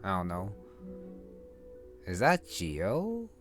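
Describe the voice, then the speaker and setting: A man's voice: sits low, wildly swooping pitch, extremely slow. A man giving casual game commentary, musing aloud in short fragments. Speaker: gameplay streamer. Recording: loud game music behind. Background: loud game music.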